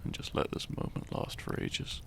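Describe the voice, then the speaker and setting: A man playing a shy, tender young hero in love in a romantic audio roleplay, speaking gently and intimately. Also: slight background noise, close to an everyday voice. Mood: weary, affectionate. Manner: slow, gentle, intimate, halting.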